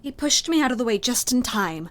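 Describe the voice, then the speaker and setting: A man's voice: extremely high pitch, lively intonation, brisk, fairly bright tone, slight background noise, pitched above the performer's own voice. A man voicing an earnest, guilt-ridden young female student in an audiobook, with a plaintive, insistent delivery. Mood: confused. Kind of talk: audiobook character dialogue.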